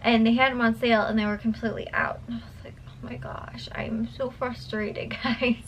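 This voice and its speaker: A woman's voice: fairly high pitch, flat delivery, a little fast, whispered, lo-fi sound. A tired young woman vlogger chatting casually to her viewers at home at night, rambling with lots of fillers and restarts, her voice a little worn. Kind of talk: casual chat to camera.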